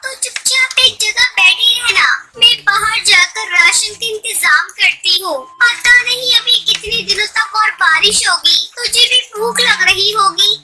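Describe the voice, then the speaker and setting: An anxious mother bird from a children's cartoon, performed by a woman. Her voice is extremely high, very bright and slow, with a plaintive, protective, fretful manner. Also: exaggerated voice, low-quality recording. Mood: concerned. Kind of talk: Hindi children's cartoon voice acting.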